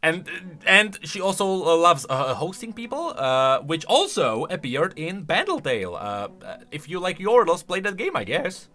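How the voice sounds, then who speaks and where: A man with a bright, fairly high voice commentates casually on game lore. His pitch jumps around in an enthusiastic, thinking-aloud way, with restarts along the way and faint music underneath. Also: big pitch swings, normal speed, hardly any pauses.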